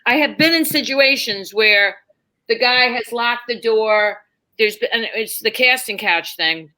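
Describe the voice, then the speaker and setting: A woman's voice: fairly high pitch, measured pace, sharp tone, poor audio. A woman telling stories conversationally, candid and emphatic, acting out bits of dialogue as a comedian guest. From New York, United States. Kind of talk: animated conversational storytelling.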